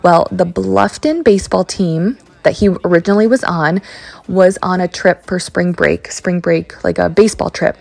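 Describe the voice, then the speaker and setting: Woman, 30s, cleaning vlogger, casual rambling chat, filler words, slightly low voice, dry close sound. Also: normal speed, creaky, darkish tone, no room sound. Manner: chatty storytelling with many ums and likes. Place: carpeted home basement and small tiled bathroom.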